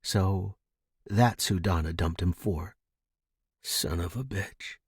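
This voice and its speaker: A man's voice: low voice, slow pace, creaky, breathy edge, no echo, clean studio sound. A man reading a scripted fiction story as its narrator.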